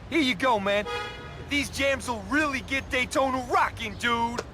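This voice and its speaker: Excitable rocker dude, extremely high voice, slangy, game cutscene, music behind. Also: male voice, unhurried. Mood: upbeat. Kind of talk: video game cutscene acting.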